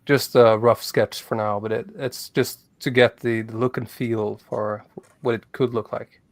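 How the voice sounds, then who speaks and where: A man speaking as a guest on a livestream, explaining his project in a halting way with many fillers. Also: medium pitch, little pitch movement, no echo. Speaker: guest, crypto project developer. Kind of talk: casual livestream chat.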